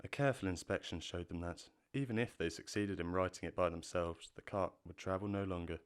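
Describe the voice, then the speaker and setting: Male narrator, very low voice, smooth and resonant, measured storybook reading.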